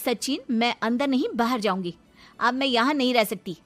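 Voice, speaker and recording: A woman's voice: fairly high pitch, moderate pace, faint music behind, lightly acted. A woman voicing an outspoken, indignant young wife in a cartoon story, argumentative and sarcastic. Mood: defiant. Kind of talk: Hindi cartoon story dialogue.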